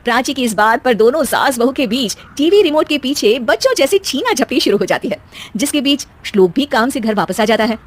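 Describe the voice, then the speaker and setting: A woman narrating a scripted cartoon story in a steady, lively storytelling manner. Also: a little high, brisk.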